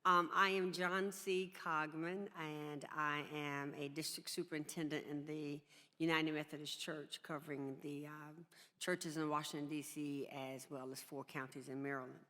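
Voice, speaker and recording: A clergywoman sharing a personal reflection at a public discussion, with emphatic, deliberate phrasing. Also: deep voice, little pitch movement, pushed and bright. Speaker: church district superintendent, clergy. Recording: echoey hall with long reverb.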